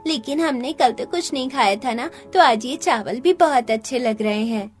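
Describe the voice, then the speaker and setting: A woman acts out a sweet, hungry little girl for a cartoon. The voice is high and childlike, with a plaintive manner. Soft music runs underneath. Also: normal speed, close to an everyday voice. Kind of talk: animated story dialogue.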